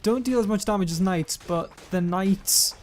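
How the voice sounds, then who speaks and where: A young man with a self-described monotone voice, rambling through gameplay commentary and viewer comments, often correcting himself mid-sentence. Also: high voice, normal speed, slight background noise. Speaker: gaming YouTuber.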